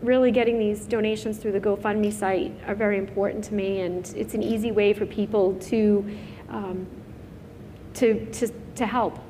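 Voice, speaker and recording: A woman speaks as a guest on a stage panel, earnest and unpolished, with fillers and restarts. Her voice sits at an ordinary middle pitch, and a faint hiss runs under it. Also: fairly even intonation, moderate pace, warm tone. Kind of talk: candid panel conversation.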